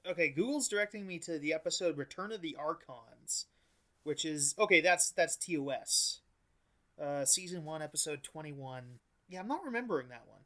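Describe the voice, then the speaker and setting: Bright, higher-voiced man chatting casually. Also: animated, normal speed. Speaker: podcast co-host. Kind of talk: casual conversational chat.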